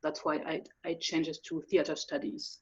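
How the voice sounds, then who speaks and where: A woman's voice: low voice, flat delivery, normal speed, breathy edge. A woman from France, speaking English as an in a video call interview, hesitant, with many fillers and restarts. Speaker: guest musician. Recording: dull lo-fi audio.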